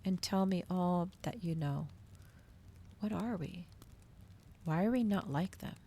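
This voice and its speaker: A woman voicing a cold, demanding child vampire girl in a storybook, with hushed, insistent questioning. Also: fairly low pitch, slow pace, husky, heavily acted. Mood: intense, urgent. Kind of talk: ASMR storybook character reading.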